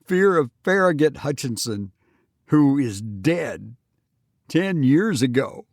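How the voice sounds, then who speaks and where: A big, guilt-ridden adventurer played by a man reading a story. His voice is pushed up in pitch, full of defiant, mocking bravado that frays into fearful agitation. The recording is dry and close. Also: sing-song, slow, a little mellow, no room sound, heavily acted. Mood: tormented fear.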